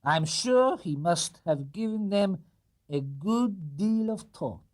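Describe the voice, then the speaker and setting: A man voicing a kindly elderly gentleman in a children's story, courteous and ponderous, with a halting, deliberate delivery. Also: high voice, expressive, voice pushed up. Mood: formal, warm.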